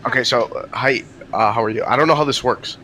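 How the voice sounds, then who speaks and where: A male caller from North Jersey, talking rapidly and in a scattered, chatty way. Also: medium pitch, bright, forceful tone, slight background noise. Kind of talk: casual phone call.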